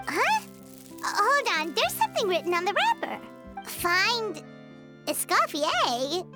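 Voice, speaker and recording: A woman voicing a small, excitable, childlike female fairy companion with blunt reactions in a video game. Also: very high-pitched, slow pace, exaggerated voice. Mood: curious. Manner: refers to self in third person, exclaiming. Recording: game dialogue over loud music.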